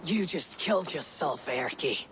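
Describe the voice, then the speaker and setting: A woman's voice: sits low, expressive, unhurried, background noise. An actress playing a tough, defiant young Viking warrior woman in a video game, commanding and resolute. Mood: fierce. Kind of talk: dramatic video game cutscene acting.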